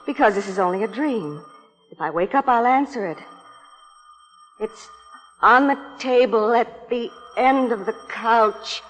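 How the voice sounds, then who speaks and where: A woman's voice: fairly high pitch, measured pace. An actress playing an anxious, exhausted young woman, narrating her nightmare dramatically in 1950s suspense radio style. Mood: reasoning, resolute. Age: young adult. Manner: dramatic, dreamy first-person narration. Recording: 1950s radio broadcast, long reverb, music behind.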